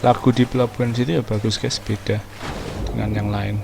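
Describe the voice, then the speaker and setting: A young man with an Indonesian accent chats informally through a game. His mid-pitched voice has a lot of fry, and game music plays clearly behind it. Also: flat delivery, fast. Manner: informal, slangy. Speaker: gaming streamer. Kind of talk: casual gameplay commentary.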